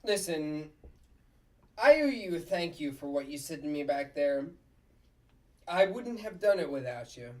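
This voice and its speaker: A gruff, boastful puppet guy from a sitcom, acted by a woman, a bit off the mic. The delivery is unhurried but swings widely, full of bluster and exclamation. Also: deep voice, big pitch swings, warm tone, recorded from a distance. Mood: gruff, wry. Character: gruff, excitable, boastful male puppet lawyer. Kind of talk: sitcom table read.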